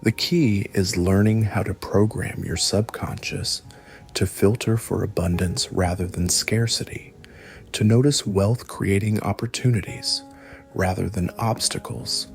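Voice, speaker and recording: A man narrating a scripted guided sleep hypnosis in a soothing, measured way, with breathy phrase endings. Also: on the low side, steady tone. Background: background music.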